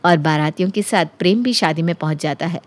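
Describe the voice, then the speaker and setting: A woman narrating a scripted animated story as its storyteller. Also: fairly low pitch, quick pace.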